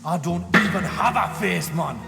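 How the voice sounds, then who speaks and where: A man's voice: high voice, normal speed, gravelly. An actor playing a sardonic, aggrieved male outcast in a video game cutscene. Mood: bitter, mocking. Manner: mocking, aggrieved. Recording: long reverb, loud music behind.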